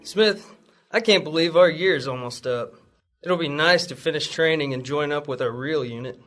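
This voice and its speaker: A man's voice: a little high, normal speed, fairly bright tone, soft background music, natural voice. Man as an earnest young recruit, lightish voice. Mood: relieved. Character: earnest young man eager to join army. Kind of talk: amateur radio drama acting.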